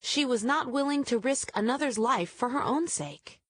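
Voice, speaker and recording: A woman storyteller reading a scripted children's story aloud in deliberate, measured phrases. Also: a little high, lively intonation.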